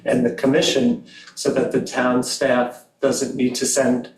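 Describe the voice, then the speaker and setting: Monotone man presenting in an echoey hall. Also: mid-range voice, measured pace. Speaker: planning commission staff. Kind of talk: slide presentation. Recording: echoey room from far off with faint noise.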